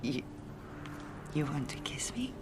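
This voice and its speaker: An actress playing a shy, uncertain office woman in an audio drama, speaking in a hesitant, breathy whisper. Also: low-pitched, big pitch swings, measured pace, very noisy.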